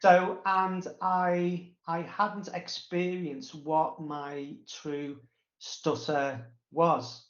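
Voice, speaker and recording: Male interview guest with a stutter, slow halting delivery, roomy and muffled recording. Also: on the high side, flat delivery, fairly bright tone. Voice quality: stutter with blocks, repetitions and drawn-out pauses. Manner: halting, frequent fillers. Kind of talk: casual personal recollection. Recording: roomy, echoey, dull sound.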